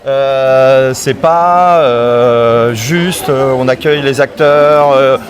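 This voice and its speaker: A man's voice: medium pitch, drawn-out words. A man at a convention answering a question, hesitant and full of fillers. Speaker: convention attendee. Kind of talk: casual interview. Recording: crowd noise behind. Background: crowd chatter.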